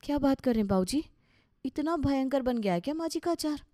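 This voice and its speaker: A woman's voice: sits high, animated, brisk, dark tone, no room sound, clean studio sound. A woman playing a young, anxious, respectful daughter-in-law in a cartoon story, earnest and questioning. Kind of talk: Hindi cartoon story dialogue.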